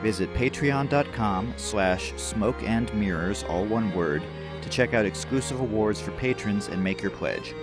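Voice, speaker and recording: A man's voice: lowish voice, lively intonation, a little slow. A man reading a scripted announcement as an audio program's announcer. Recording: music bed behind voice.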